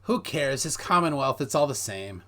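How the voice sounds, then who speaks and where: A man's voice: medium pitch, expressive, moderate pace, a bit bright. A man hosting a live stream, telling meandering stories full of fillers and reading viewer messages aloud. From United States. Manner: rambling storyteller, hesitant with many fillers, reads viewer messages. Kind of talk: casual chat.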